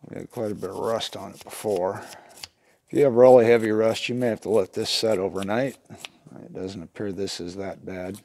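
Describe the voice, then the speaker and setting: Lower-voiced man giving a hands-on tutorial. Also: steady tone, darkish tone. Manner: plainspoken, unhurried step-by-step explaining. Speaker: instructor demonstrating lantern repair. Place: indoor wooden workshop at a workbench.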